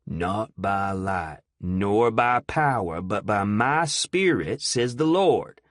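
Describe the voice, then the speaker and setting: A man voicing a calm, defiant male preacher who speaks slowly and solemnly in a storybook reading. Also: mid-range voice, no room sound, studio quality, pitched above the performer's own voice, heavily acted. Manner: slow, solemn and measured.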